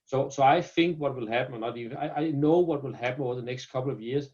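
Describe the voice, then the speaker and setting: A man's voice: mid-range voice, fast talker, a little mellow. Earnest Danish-accented man, dull audio. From Denmark. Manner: earnest, repeats words and restarts often. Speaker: company founder and CEO. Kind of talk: business interview over video call.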